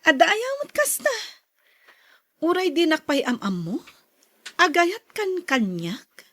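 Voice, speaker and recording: A woman's voice: extremely high pitch, wildly swooping pitch, takes it slowly, a bit bright, dry recording, clean studio sound, exaggerated voice. A woman with an Ilocano accent acting a coy, demure young lady in a radio drama. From Philippines.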